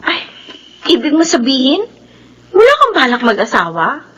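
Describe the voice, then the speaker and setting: A woman acting a single career woman and friendly best friend in a Filipino radio drama, expressive in delivery. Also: sits high, normal speed, heavily acted. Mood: flustered. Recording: music under the drama dialogue.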